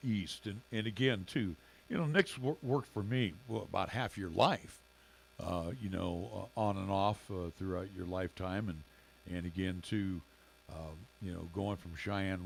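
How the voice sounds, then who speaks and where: Raspy low-voiced middle-aged man. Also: a little hiss or hum. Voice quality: raspy, gravelly. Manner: halting, many fillers and restarts. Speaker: radio station owner and co-host. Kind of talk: casual radio chat.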